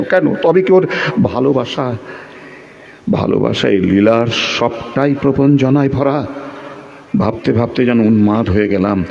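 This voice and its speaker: A man acting a lovesick, quick-tempered man who tells his own story in a theatrical, emotional radio-play style. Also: fairly low pitch, gravelly, darkish tone, muffled recording. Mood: anguished, pleading. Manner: theatrical, emotional, with repeated pleading phrases. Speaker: audio drama actor and narrator. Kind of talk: dramatic first-person narration of a Bengali audio play.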